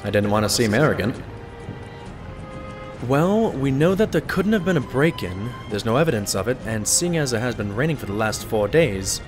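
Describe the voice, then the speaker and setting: A loyal, modest pony doctor voiced by a man narrates and converses in a mid-range, very animated voice. The audio drama delivery is earnest and expressive, with music beneath. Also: mid-range voice, lightly acted.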